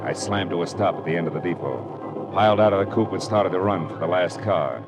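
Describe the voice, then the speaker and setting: A man's voice: low voice, brisk, muffled recording, natural voice. A male actor playing a tough, impatient, wisecracking private detective with an American accent, in 1940s radio style. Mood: tense, hardboiled. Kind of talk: 1940s radio acting, hardboiled narration. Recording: vintage radio drama recording with music.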